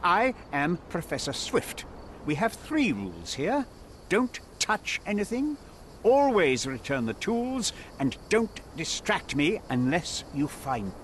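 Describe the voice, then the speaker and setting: Brief: lecturing, bossy professor, voice swings widely. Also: male voice, high-pitched, huge pitch swings, takes it slowly, a bit bright, slight background noise, full character voice. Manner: lecturing, pompous, commanding. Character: stern older male archaeology professor, proud and domineering. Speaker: dig site leader. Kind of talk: video game voice acting.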